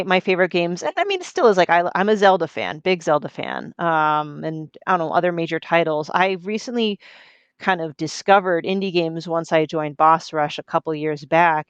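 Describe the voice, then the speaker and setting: A woman hosting a podcast who interviews a guest in a chatty, friendly way with quick asides. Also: fairly low pitch, smooth, dry recording. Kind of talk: casual interview chat. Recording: dry, dull lo-fi sound.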